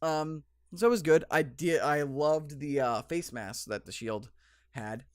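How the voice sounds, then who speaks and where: A man's voice: a little high, unhurried, dry recording, studio quality. A young man with a slight cold hosting a solo podcast, reviewing wrestling in a rambling, enthusiastic way with many fillers. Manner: rambling, lots of ums and restarts, enthusiastic.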